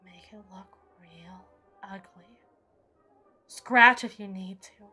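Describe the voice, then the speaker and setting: A woman's voice: medium pitch, fairly even intonation, slow, slightly rough, faint music behind, full character voice. A woman playing an unstable, brooding evil queen in an intense, theatrical audio roleplay, speaking in formal, dramatic monologue. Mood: grim, commanding. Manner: theatrical, formal, intense, swinging between hushed and forceful.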